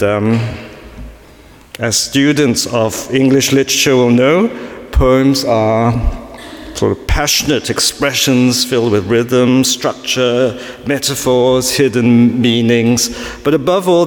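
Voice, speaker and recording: A man from Singapore preaches to a family congregation in a loose, chatty way, tossing in self-mocking jokes and plenty of ums. His voice sits at an ordinary middle pitch and rings around a big church, with a long reverb tail after each phrase. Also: slow, a little hiss or hum. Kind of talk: sermon to a family church service. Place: large church.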